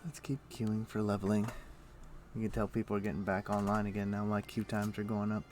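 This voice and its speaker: A man's voice: lowish voice, little pitch movement, a little fast, darkish tone, a little hiss or hum. A man livestreaming a video game, casually thinking aloud in short muttered phrases as he plays, speaking into a desk microphone. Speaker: livestream host. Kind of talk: casual gaming commentary, talking to himself while playing.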